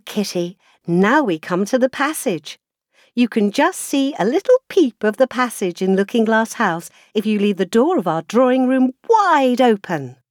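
Woman reading as eager, wistful little girl, lively pitch swings. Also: no room sound, studio quality. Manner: wistful, eager, musing aloud. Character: Alice, imaginative chatty little girl talking to her kitten. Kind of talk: children's storybook reading.

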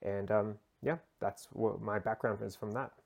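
A man's voice: on the low side, fairly even intonation, normal speed, gravelly, darkish tone. A man from the United States, a lawyer and guest, answering interview questions conversationally over a remote call, with frequent fillers and restarts. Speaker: guest, human rights lawyer.

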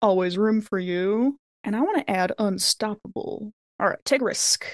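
A woman's voice: medium pitch, moderate pace, no room sound, clean studio sound. A woman chatting casually and playfully as a player in a tabletop role-playing game.

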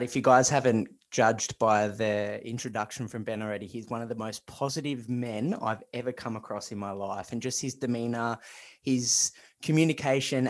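Enthusiastic host, lower voice. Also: male voice. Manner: enthusiastic, pumped-up host, calls guest mate and dude. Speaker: podcast host. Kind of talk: casual interview talk.

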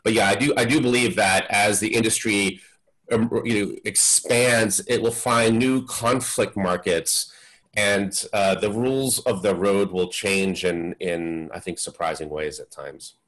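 Male panel expert, very low voice, measured and thoughtful. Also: steady tone, fairly bright tone, rough recording. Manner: measured, lots of um and uh. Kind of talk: webinar panel discussion.

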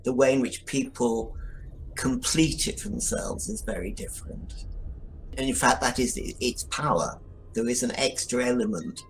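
A man's voice: medium pitch, normal speed, low-quality recording. A man with a mid-range voice explaining his craft as a writer on a podcast. He speaks thoughtfully and in full sentences, over a faint background hiss. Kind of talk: podcast interview answer.

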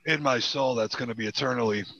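A man's voice: medium pitch, fast, vocal fry, fairly bright tone, no echo, muffled recording. A man in his forties chatting casually and emphatically, swearing freely. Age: 40s. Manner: heavily profane, emphatic. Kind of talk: casual chat.

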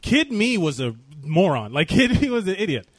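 A man's voice: high-pitched, very animated, normal speed, dry recording. A man co-hosting a casual podcast, rambling and profane, full of fillers, restarts and trailing thoughts. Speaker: podcast co-host.

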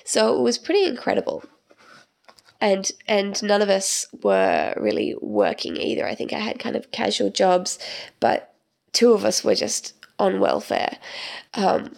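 A young woman hosting her own podcast, chatting in a rambling way with many ums, her voice croaky from the tail end of a cold. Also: medium pitch. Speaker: podcast host. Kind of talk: casual solo podcast monologue.

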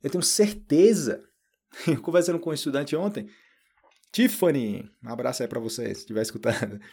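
A man from Brazil with a Brazilian Portuguese accent, hosting a casual podcast lesson. He talks in a chatty way, fills his talk with little fillers and acts out quoted people in a higher voice. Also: moderate pace, creaky, mellow, husky. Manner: lots of fillers like "tipo" and "né". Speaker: Portuguese teacher, podcast host.

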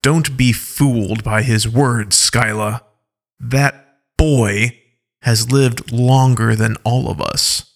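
A man's voice: medium pitch, steady tone, takes it slowly, creaky. A man voicing a stern, proud wolf-tribe leader who demands respect. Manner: stern, commanding.

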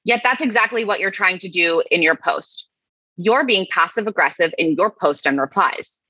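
Woman podcast co-host, bright forceful tone, wry dry delivery, slightly dull recording. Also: on the low side, expressive, moderate pace, breathy edge, dry recording. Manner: wry, dry reading with asides. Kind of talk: casual podcast chat, reading comments aloud.

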